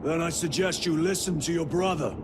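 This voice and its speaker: A man's voice: a little high, brisk, background noise, lightly acted. A man playing a stern, stoic veteran commander of space soldiers in a video game, terse and commanding. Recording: loud music behind the voice.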